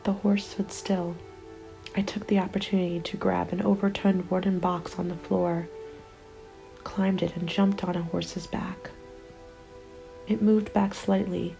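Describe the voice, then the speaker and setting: A woman acts out a lone, worn-out female survivor of a zombie apocalypse, telling her story as an ASMR roleplay. Her hushed voice is low and creaky, often slipping into breathy whispers. She speaks very evenly and unhurriedly, with music underneath. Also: little pitch movement, a little slow, pauses often, poor audio. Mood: soothing, tense. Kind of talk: ASMR roleplay, scripted first-person narration.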